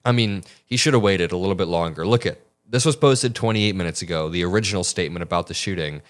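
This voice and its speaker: Low-voiced man giving earnest news commentary. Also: quick pace. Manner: earnest, emphatic, argumentative. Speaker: political commentator.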